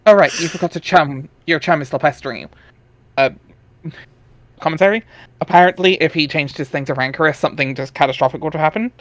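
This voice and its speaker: Higher-pitched man narrating, dry close sound. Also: fast, a bit bright, no echo. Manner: wry, informal. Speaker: narrator of a webcomic reading. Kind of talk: dramatic narration with casual asides.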